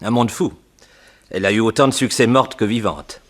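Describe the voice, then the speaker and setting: Guy playing a polite doctor, lowish voice, measured, old radio mystery style. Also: natural voice. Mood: measured, concerned. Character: measured, courteous bachelor doctor and researcher. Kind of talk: 1960s French radio detective drama acting.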